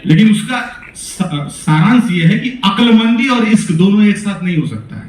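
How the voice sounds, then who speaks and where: A man's voice: high voice, lively intonation, moderate pace, a little hiss or hum. A man with a Bihari accent, a stage poet giving a teasing, folksy talk to students at a poetry event. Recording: echoey hall, voice from far off.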